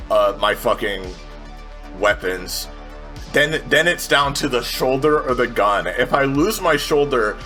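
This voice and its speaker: Bright-voiced man talking through a tabletop game. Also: medium pitch. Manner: thinks aloud, repeats and corrects words, swears casually. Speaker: player in a tabletop role-playing game show. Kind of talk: casual game play talk with narration of own character.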